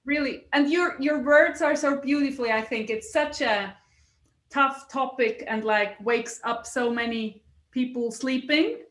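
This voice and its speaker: A woman hosts a casual podcast chat in English, which is not her first language, often stopping to search for a word. Her voice is high. The sound is roomy with echo. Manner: warm, halting, searches for words. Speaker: podcast host.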